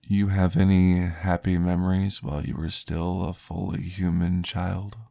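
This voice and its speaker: A man playing a calm, courteous vampire therapist in an ASMR roleplay, guiding gently and formally in a breathy, gravelly voice. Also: sits low. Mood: gently curious. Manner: slow, gentle, formal, soothing guidance.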